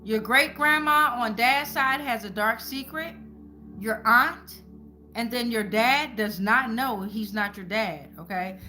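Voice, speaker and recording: A woman with a bright, forceful, slightly high voice hosts an informal tarot reading. She talks to viewers in colloquial, salty language and repeats phrases like incantations. Soft music plays behind her. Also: slow pace. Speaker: tarot reader and channel host.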